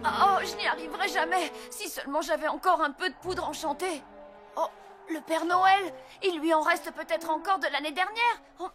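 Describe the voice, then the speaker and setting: A woman's voice: extremely high pitch, moderate pace. A woman playing an earnest, loyal young schoolboy in a French cartoon, eager and emphatic. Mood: alarmed. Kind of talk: French cartoon dub acting. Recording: clear music bed behind the voice.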